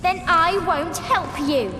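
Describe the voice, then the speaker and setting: A woman's voice: very high voice, expressive, slow pace, exaggerated voice. A woman voicing a vampire little girl in a game, playful yet cunning and petulant, with childlike, sing-song coaxing. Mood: petulant, taunting. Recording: echoey long reverb, noisy ambience.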